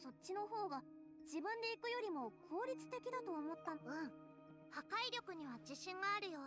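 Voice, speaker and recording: A woman's voice: very high voice, lively intonation, rapid-fire. A woman voicing a brash, eager, childlike girl in an anime video game, with a cheeky, blunt manner. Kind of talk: Japanese anime game acting. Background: loud game music.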